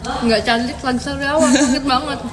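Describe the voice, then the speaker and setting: A woman's voice: high-pitched, fairly even intonation, moderate pace. A young woman on a casual live stream, playful and teasing, chatting between bites. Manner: playful and teasing, talks while eating. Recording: echoey room with crowd noise behind.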